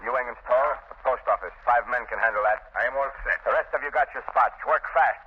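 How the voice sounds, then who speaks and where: An actor playing a ruthless gangster boss in a 1930s radio crime drama, barking tough, clipped orders. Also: male voice, mid-range voice, fast, husky, natural voice. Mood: commanding. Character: ruthless, commanding gangster boss plotting a heist. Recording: scratchy, muffled 1930s radio broadcast.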